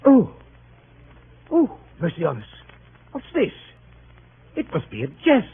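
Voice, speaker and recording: Excitable older club gentleman, wildly swooping pitch, 1930s radio drama, tinny narrow-band recording. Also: slow, creaky, breathy edge, full character voice. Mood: shocked, flustered. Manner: blustering, excitable. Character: indignant, flustered, respectable older gentleman club chairman.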